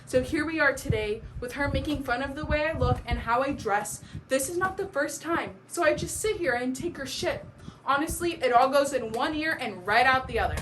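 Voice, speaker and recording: A woman's voice: high voice, expressive, normal speed, voice pushed up. A young woman playing a bitter, wounded, neglected daughter in an emphatic, aggrieved stage soliloquy. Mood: hurt, resigned. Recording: clearly noisy amateur recording.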